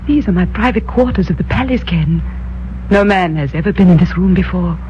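An actress playing an earnest young female ruler of an eastern kingdom, speaking softly and formally in 1950s radio drama style. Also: on the low side, animated, brisk, close to an everyday voice. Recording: dull old radio broadcast with hum.

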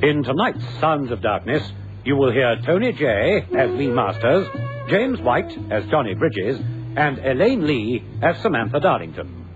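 A man's voice: medium pitch, sing-song, a little slow. A man announcing a radio drama in a dramatic, scripted vintage style. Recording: dull old radio recording, loud music.

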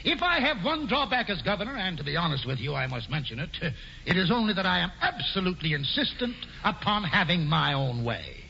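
An actor playing a pompous, scheming colonial governor, grandiose and sly in 1940s radio acting, on a muffled old broadcast. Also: male voice, sits high, big pitch swings, a little fast, creaky, sharp tone, a little hiss or hum, heavily acted. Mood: oratorical. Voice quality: grandiose, mock-genial, sly. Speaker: governor Stuyvesant.

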